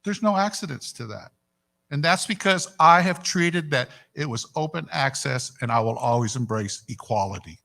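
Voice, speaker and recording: A middle-aged man answering a debate question as a candidate, emphatic and deliberate, restating his points. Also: mid-range voice, lively intonation, gravelly, bright, forceful tone. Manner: emphatic, deliberate, repeats himself. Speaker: judicial candidate.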